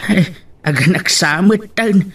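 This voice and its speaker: A man with a Filipino accent playing a gentle, worried elderly rural father in a radio-style drama. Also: sits high, slow pace, crowd noise. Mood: musing. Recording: distant microphone, faint background noise.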